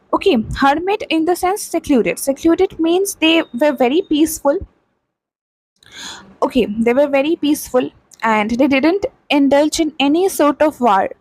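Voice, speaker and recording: A young woman with an Indian English accent explains a history lesson in a high, clear voice. She goes over each point again and again with lots of "okay" and "uh." The sound is dry and close, with no room echo. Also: darkish tone. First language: not Hindi. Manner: explains plainly, repeats key points with frequent "okay" and "uh". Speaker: teacher. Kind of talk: explaining a textbook chapter.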